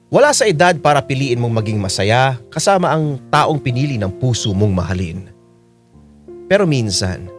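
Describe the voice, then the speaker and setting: A man's voice: lowish voice, natural voice. An actor playing a roguish retired taxi driver in his sixties, narrating his own story in a radio drama. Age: 60s.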